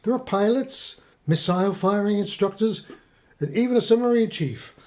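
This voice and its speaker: An actor playing an earnest, pedantic middle-aged British husband who has become a conspiracy believer, in a radio play. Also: male voice, high-pitched, lively intonation, moderate pace, a little mellow. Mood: earnest, insistent.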